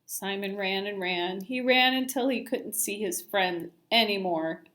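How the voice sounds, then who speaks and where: A woman's voice: medium pitch, a little slow, fairly bright tone. A woman narrating a children's story aloud expressively, like a teacher reading to a class.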